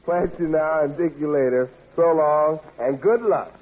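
A man's voice: fairly high pitch, a little slow. A man hosting a 1940s radio show with playful hipster slang and mock-fancy jive patter. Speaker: substitute radio show host. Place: radio studio. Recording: noisy narrow-band old radio recording.

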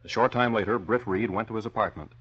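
Male old-time radio narrator, low slightly husky voice, dramatic declamatory scripted delivery, muffled recording. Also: quick pace, fairly bright tone.

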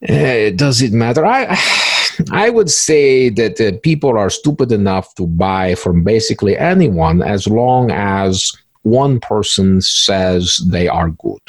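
A man voicing a smug, cynical veteran cybercriminal in an interview skit, with halting, repetitive, stilted phrasing. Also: on the low side, animated, slightly rough, no room sound, heavily acted. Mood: dismissive. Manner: halting, repetitive, stilted grammar with drawn-out hesitations.

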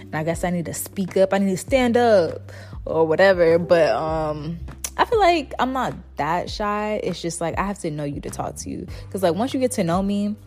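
A soft-spoken young woman hosting her podcast, chatting casually and rambling with lots of "like" fillers. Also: lowish voice, big pitch swings, normal speed, faint music behind. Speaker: podcast host. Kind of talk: casual solo chat.

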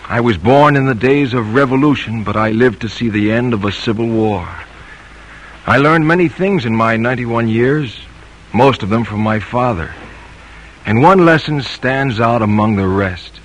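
An actor playing an earnest, protective grown son in an old-time radio drama, speaking formally. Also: male voice, medium pitch, normal speed, slight background noise, lightly acted, poor audio. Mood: reflective. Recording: vintage radio recording.

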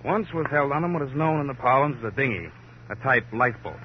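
Actor as droll police sidekick, American, mid-pitched, slangy and wordy, vintage radio drama, noisy dull recording. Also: male voice, expressive, fast, close to an everyday voice. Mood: droll, chatty. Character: wry, slangy male police sidekick, chattily ornate. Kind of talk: 1940s-50s radio crime drama acting.

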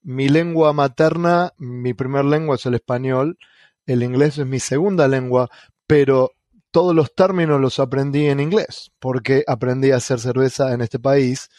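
A man's voice: medium pitch, steady tone, moderate pace, a little mellow, dry recording, clean studio sound. Argentine man, hesitant podcast co-host. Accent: Argentine Spanish. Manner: hesitant, many fillers and restarts. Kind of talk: casual podcast chat.

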